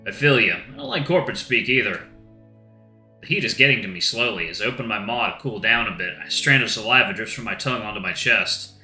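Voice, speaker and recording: A man's voice: fairly low pitch, animated, fast, sharp tone. A man narrating a visual novel in the first person, in a reflective, subdued way. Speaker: let's-play narrator. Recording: roomy echo with faint guitar music.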